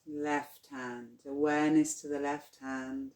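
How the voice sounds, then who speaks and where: A meditation guide speaks very slowly, leading deep relaxation with gentle, soothing instructions. The delivery is unhurried and spacious. The recording has a noticeable roomy echo around the voice. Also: little pitch movement. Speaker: yoga nidra guide. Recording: roomy, echoing sound.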